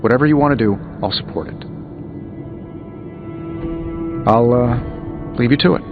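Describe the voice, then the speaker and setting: An actor playing a wisecracking, easygoing wealthy superhero inventor in a video game, talking in a glib, wry, conversational way. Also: male voice, mid-range voice, fast talker, narrow, tinny audio, music in the background, natural voice. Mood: conciliatory. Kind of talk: video game dialogue acting.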